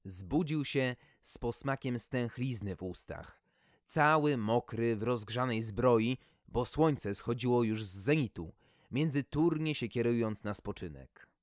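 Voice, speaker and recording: A man's voice: medium pitch, measured pace, dry recording, studio quality. A man reading a scripted fairy tale expressively, as an audiobook storyteller.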